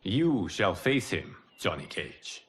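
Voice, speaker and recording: An actor playing a calm, god-like lord who mentors fighters in a video game, speaking formally and with measured authority. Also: male voice, lowish voice. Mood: commanding. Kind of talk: cinematic video game voice acting.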